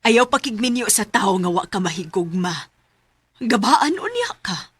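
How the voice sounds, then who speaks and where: A middle-aged mother in a Cebuano radio drama. Her voice is husky and breathy, at an ordinary womanly pitch. She sounds tender and full of worry for her grown child. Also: very animated, measured pace, no echo. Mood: worried, disapproving. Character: worried, tender older mother of a grown daughter.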